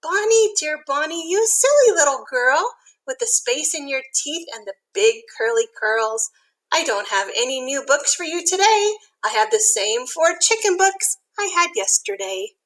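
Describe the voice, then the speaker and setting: Woman reading as a bright, fondly teasing librarian. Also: high-pitched, big pitch swings, a little slow, exaggerated voice, poor audio.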